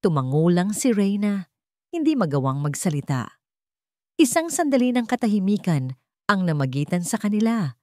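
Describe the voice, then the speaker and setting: A woman's voice: medium pitch, sing-song, normal speed, mellow, dry recording, studio quality. A woman with a Filipino accent narrating a scripted dramatic story.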